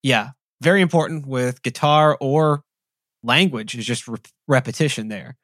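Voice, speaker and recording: Relaxed man chatting on a podcast. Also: medium pitch, moderate pace, no room sound, clean studio sound. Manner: relaxed, rambling with frequent "like" fillers. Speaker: podcast co-host. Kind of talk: casual conversation.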